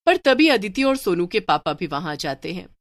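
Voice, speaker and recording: A woman's voice: lowish voice, big pitch swings, moderate pace, no room sound, clean studio sound. A woman narrating a scripted cartoon horror story as a storyteller.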